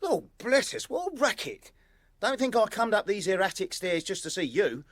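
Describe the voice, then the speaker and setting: High-voiced cheeky police aide. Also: male voice, very animated, moderate pace, a bit bright, dry recording, studio quality. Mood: flustered, indignant. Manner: colloquial, exclamatory. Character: cheeky, plain-spoken working-class police aide. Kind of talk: period audio drama acting.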